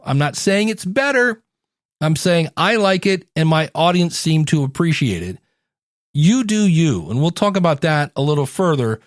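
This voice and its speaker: A man hosting a solo podcast, giving advice in a conversational, animated way and acting out little quotes to make his points. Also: on the high side, no room sound, clean studio sound. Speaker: podcast host and coach.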